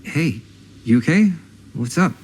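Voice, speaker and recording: An actor playing a nervy, earnest young American brother in a video game drama, speaking casually and hesitantly, eager to please. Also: male voice, on the high side, lively intonation, a little slow, a little mellow, husky. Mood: concerned.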